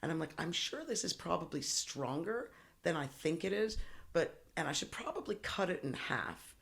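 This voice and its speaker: A woman's voice: lowish voice, normal speed, gravelly. A woman guest on a podcast, telling stories in casual chat with lots of swearing and laughter. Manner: profane, laughing, animated storyteller.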